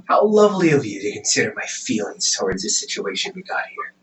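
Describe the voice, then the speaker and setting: A young man playing a protective, weary half-demon older brother in a dramatic audio roleplay, pleading and exasperated with emphatic, halting delivery. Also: lowish voice, sing-song, a bit bright, recorded from a distance, poor audio. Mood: sardonic.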